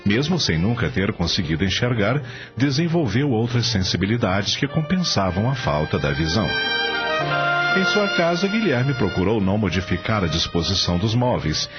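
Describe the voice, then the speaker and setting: A man narrating a radio drama in a measured, expressive storytelling style. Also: fairly low pitch. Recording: dull lo-fi audio with music behind.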